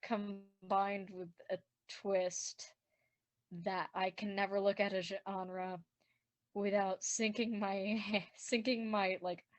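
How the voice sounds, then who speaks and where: A woman's voice: mid-range voice, level tone, takes it slowly, no echo. Rambling woman in casual podcast chat, dry sound. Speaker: podcast writer and director. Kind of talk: casual post-show commentary chat.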